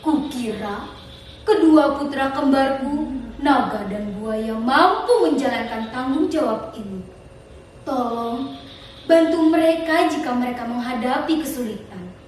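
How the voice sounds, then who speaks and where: A woman voicing a grieving, gentle old king with measured, solemn, formal speech in a storytelling performance. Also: high voice, animated. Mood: solemn, trusting. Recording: distant echoey room with faint noise.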